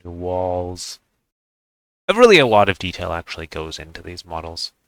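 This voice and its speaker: A man with a deep voice and a bright, forward tone talks through a game showcase. He is casual and unscripted, rambling a little as he thinks aloud. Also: sing-song, moderate pace, dry recording. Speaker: gaming video creator.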